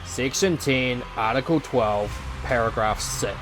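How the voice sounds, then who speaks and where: A man playing a shrewd, deadpan know-it-all who analyses fights in a visual novel drama. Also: mid-range voice, measured pace, faint music behind, close to an everyday voice. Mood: dry, pedantic.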